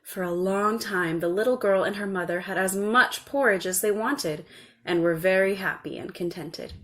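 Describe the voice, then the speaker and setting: A woman storyteller reading a scripted children's tale in a measured, expressive way. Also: medium pitch.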